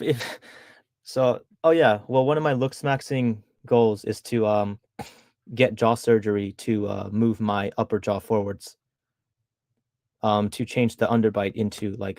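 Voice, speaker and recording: A man's voice: lowish voice, a little mellow, dry recording, clean studio sound. A young man streaming casually, reading out chat messages and rambling with frequent restarts, his tongue held back from an underbite. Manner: rambling, self-correcting, laughs at himself.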